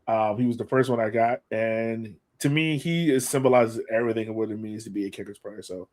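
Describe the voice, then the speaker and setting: A man's voice: lowish voice, fairly quick, darkish tone. A man hosting a sports fan podcast, chatting casually in an informal, slangy, enthusiastic way. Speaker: podcast host.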